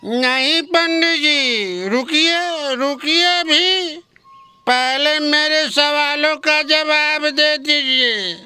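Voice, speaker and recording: Guy doing a nagging drunk villager from a cartoon, really bright sharp voice, super slow slurry questions. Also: very high voice, faint music behind, heavily acted. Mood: probing, stubborn. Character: pestering village drunkard man, stubborn, riddling questioner. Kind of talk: Hindi comic cartoon story acting.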